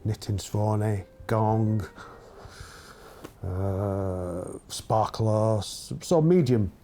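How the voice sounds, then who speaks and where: A man in his fifties, a band's bassist, speaking thoughtfully and a little hesitantly in a documentary interview. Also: very slow, warm tone, a little hiss or hum. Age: 50s. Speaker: band bassist. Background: music bed.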